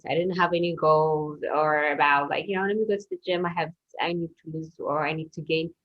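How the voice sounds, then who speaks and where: Young woman from ethiopia, lowish voice, a bit shy and halting, dull lo-fi call audio. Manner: soft-spoken, hesitant, laughs easily. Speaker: personal trainer, guest. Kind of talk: casual interview chat.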